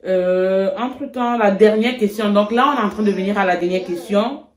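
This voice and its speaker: Woman, mid pitch, reflective, lots of pauses, casual vlog, echoey room. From Cameroon. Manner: reflective, repeats "franchement", frequent pauses. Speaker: vlogger. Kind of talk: casual couple chat.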